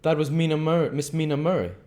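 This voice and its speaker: Courtly older gentleman doctor performed by a male reader: raised pitch, loud and emphatic, effusively polite audiobook character. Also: steady tone, quick pace, lightly acted. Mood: earnest, polite. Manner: effusive, courtly, stilted phrasing.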